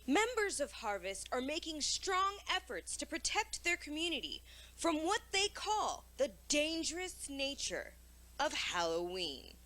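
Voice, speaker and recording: A woman's voice: high voice, very animated, unhurried, pushed and bright, full character voice. A woman playing a local television news reporter in a mock broadcast. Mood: earnest reporting.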